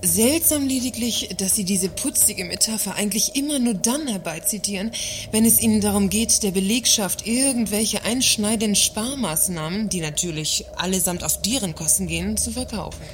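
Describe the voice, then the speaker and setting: A woman's voice: mid-range voice, expressive, normal speed, natural voice. Woman playing a sardonic, combative works councillor, noisy backdrop. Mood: sarcastic. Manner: sardonic, articulate, needling. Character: combative, sarcastic female works council representative. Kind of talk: satirical radio play acting.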